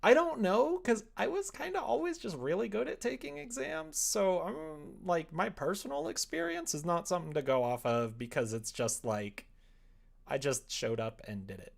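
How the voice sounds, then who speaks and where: Young man chatting haltingly on a livestream. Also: high-pitched, expressive, vocal fry. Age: young adult. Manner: halting, thinking aloud, trailing off. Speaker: livestreamer writing a visual novel. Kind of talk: casual livestream chat while working. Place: small room at home, indoors.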